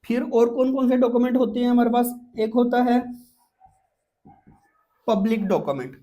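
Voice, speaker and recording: A woman's voice: on the high side, moderate pace, dark tone. A woman with a Pakistani accent giving an informal lecture as an exam prep teacher, explaining step by step with frequent repetition and check-ins.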